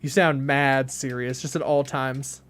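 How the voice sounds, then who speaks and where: A young man in his late teens streaming games and chatting casually, with a deadpan delivery and lots of rambling fillers. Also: medium pitch, measured pace. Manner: deadpan, flat delivery even when joking; rambling, many fillers and restarts. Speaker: game streamer. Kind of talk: casual live-stream chat.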